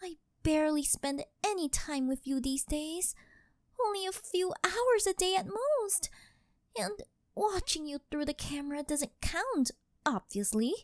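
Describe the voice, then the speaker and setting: A woman playing a rich, possessive older girlfriend who dotes and coaxes in a sugary, drawn-out way, in an ASMR roleplay. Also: high voice, expressive, no echo, clean studio sound. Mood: sheepish, apologetic. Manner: coaxing, sugary, drawn-out pet-name delivery.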